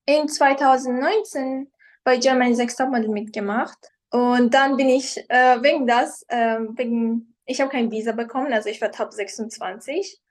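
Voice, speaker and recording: A young woman from Iran, speaking German she learned later, telling her story casually as an interview guest and often pausing to search for words. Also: fairly high pitch, fairly even intonation. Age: young adult. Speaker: guest, professional model.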